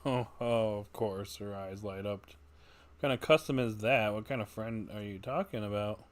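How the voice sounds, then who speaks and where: A man's voice: lowish voice, moderate pace. A man livestreaming a game, casually commenting and reading out dialogue. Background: game music.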